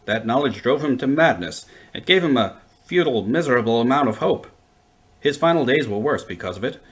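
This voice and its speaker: A man's voice: medium pitch, animated, a little fast, lightly acted. A man playing a wry, unflappable time-travelling adventurer with a dry, wordy, flippant way of talking, in an audio drama. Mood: resigned, dry.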